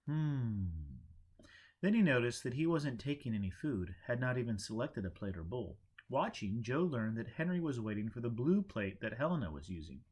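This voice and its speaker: A man's voice: mid-range voice, slightly rough. Man narrating a storybook. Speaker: audiobook reader.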